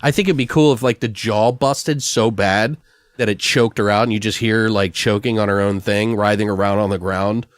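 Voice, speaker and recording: A man's voice: fairly low pitch, steady tone, normal speed, no room sound. A man hosting a podcast, brainstorming in a loose, rambling, slangy and profane way. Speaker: podcast host. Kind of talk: casual brainstorming chat.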